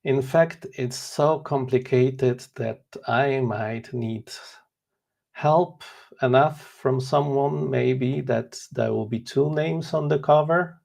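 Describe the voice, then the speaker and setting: A man in his forties from Poland, whose first language is Polish, speaks with a gravelly, creaky edge at a middling pitch. He talks earnestly and openly as a guest author in a casual interview. He is candid and a little self-deprecating. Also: slow pace, darkish tone. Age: 40s.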